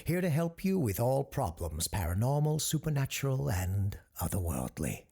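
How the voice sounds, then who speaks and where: An actor playing a composed, earnest parapsychologist hosting an eerie radio show, speaking in a measured, formal announcer style. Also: male voice, medium pitch, very animated, gravelly, warm tone, breathy edge, exaggerated voice. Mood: welcoming, formal. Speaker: host of a paranormal call-in radio show. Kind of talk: scripted radio-show narration.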